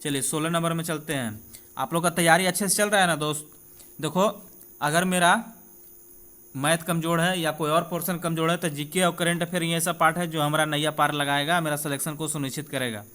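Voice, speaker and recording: Higher-voiced Bihari man teaching. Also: fairly even intonation, a little fast, fairly bright tone. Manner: conversational, addresses students directly with repeated check-ins. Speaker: exam coaching teacher. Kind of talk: informal exam-prep lesson, reading out quiz questions and explaining answers.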